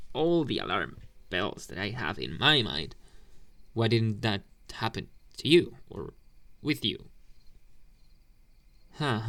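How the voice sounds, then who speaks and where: A man playing a teasing delinquent bully boy with a secret soft side, in a taunting, drawn-out anime ASMR roleplay. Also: lowish voice, full character voice. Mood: teasing, suspicious. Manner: taunting, drawn-out, questioning hums. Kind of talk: ASMR boyfriend roleplay, slow intimate speech.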